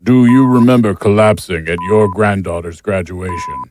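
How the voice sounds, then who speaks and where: A man's voice: fairly low pitch, huge pitch swings, moderate pace. An actor playing a male dramatic role in an audio drama. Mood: clinical, cold. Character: uneasy man, turning cruel fake doctor in dreams. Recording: clear background noise.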